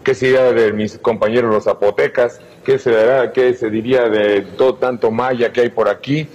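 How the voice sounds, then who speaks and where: A man's voice: mid-range voice, fairly even intonation, normal speed, darkish tone, poor audio. An older man hosting a TV interview. He asks probing questions and often interjects. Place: indoor art gallery room, seated.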